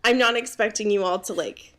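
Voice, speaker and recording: A woman with a medium-pitched voice chats as a podcast co-host. Her delivery is casual and slangy, with self-mocking humor and free swearing as she discusses books. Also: fairly quick, fairly bright tone. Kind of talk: casual comedic book discussion chat.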